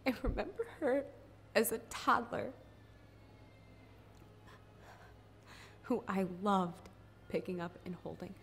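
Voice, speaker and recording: A woman's voice: mid-range voice, lively intonation, moderate pace, vocal fry, a little hiss or hum. A woman performing a dramatic stage monologue as a grieving, regretful older sister, blunt and defensive. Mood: grief-stricken.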